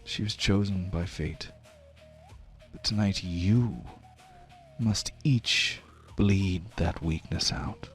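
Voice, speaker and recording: Man voicing a stern, slow-speaking zealot giantess. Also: sits low. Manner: solemn, slow, commanding preaching. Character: stern, zealous giantess aunt leading a ritual.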